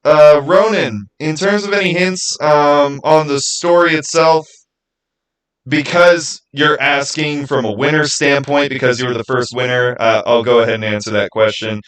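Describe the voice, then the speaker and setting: A man's voice: on the high side, fairly even intonation, normal speed, a bit bright, poor audio. A man chatting informally as a livestream host, answering viewer questions and rambling as he thinks aloud. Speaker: livestream host and game master.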